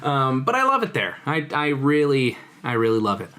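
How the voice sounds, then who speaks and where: A man's voice: mid-range voice, wildly swooping pitch, moderate pace, bright, forceful tone, slight background noise. Chatty young man telling travel stories. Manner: laughs often, self-interrupting storyteller. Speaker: podcast co-host. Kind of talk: casual chat.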